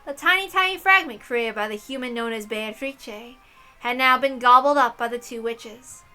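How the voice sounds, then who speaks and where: A woman's voice: fairly high pitch, normal speed, bright, forceful tone, faint music behind. A woman reading a visual novel aloud as dramatic scripted narration.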